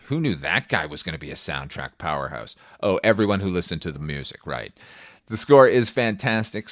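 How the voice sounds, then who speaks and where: A man's voice: low-pitched, a bit bright, no echo, studio quality. A man hosting a film review podcast, delivering conversational, scripted commentary in a wry, opinionated way with emphatic asides. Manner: casual swearing.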